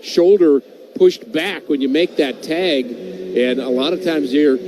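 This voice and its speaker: Mellow male baseball color commentator. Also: fairly high pitch, slow pace, slight background noise. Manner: relaxed, explanatory, conversational. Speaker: color commentator, former player.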